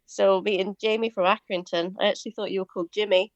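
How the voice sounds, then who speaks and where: A woman's voice: mid-range voice, fairly even intonation, fast talker, dry recording, muffled recording. A woman in her thirties with a Welsh accent, a guest on a podcast interview, chatting in a light, easy way and laughing readily. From Wales. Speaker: guest, firefighter.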